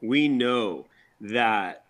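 Enthusiastic middle-aged rocker, mid-pitched voice. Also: male voice, animated, very slow, fairly bright tone. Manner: enthusiastic, repeats words, slangy. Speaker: rock band member being interviewed. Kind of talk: casual interview chat.

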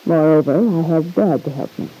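A woman's voice: sits low, moderate pace, warm tone, breathy edge. An actress playing a proud, hard-edged young married woman in an old-time radio drama, with cool, sardonic retorts. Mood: defiant, determined. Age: young adult. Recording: dull, lo-fi vintage radio recording.

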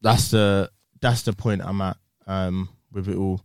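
A man's voice: sits low, steady tone, unhurried, clean tone, dry recording, studio quality. A man chatting bluntly on a podcast, swearing casually and speaking frankly. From England. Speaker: podcast co-host. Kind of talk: casual chat.

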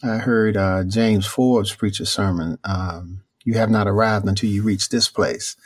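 A thoughtful preacher talking things through in an interview, pausing and restarting as he finds his words. His voice sits a little low for a man but is not booming or deep. He speaks steadily and without showmanship. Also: fairly even intonation, slightly rough. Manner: thoughtful, unhurried, many fillers and restarts. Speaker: preacher and author, interview guest. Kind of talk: reflective conversational interview answers.

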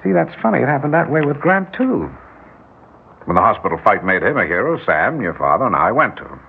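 An actor playing an earnest small-town mayor and devoted husband, speaking haltingly in an old-time radio drama style. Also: male voice, mid-range voice, very animated, vocal fry, husky, full character voice. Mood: reflective. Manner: halting, wistful reminiscing, stammering when confused. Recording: tinny, hissy old radio recording.

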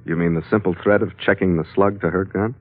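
A man's voice: sits low, steady tone, quick pace. An actor playing a tough, wry sea captain who narrates his own adventures in hard-boiled 1940s radio style. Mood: wry, companionable. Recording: narrow old radio broadcast recording.

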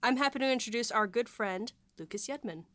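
A woman's voice: a little high, a little fast, crowd noise. A woman hosting a casual interview podcast, introducing and questioning in a conversational way. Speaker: podcast host.

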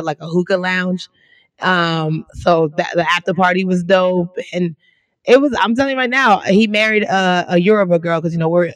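A woman co-hosting a podcast in casual chat, hoarse and losing her voice, telling stories in lively slang. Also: fairly low pitch, no room sound. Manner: slangy, animated storytelling. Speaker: podcast co-host.

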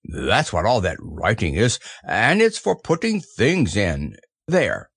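A man's voice: fairly low pitch, wildly swooping pitch, slow, fairly bright tone, breathy edge, no room sound, studio quality, full character voice. Man putting on an earnest, cheerful storybook bear. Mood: cheerful, eager. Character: friendly simple-minded male teddy bear, cheerful. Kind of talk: children's storybook character reading.

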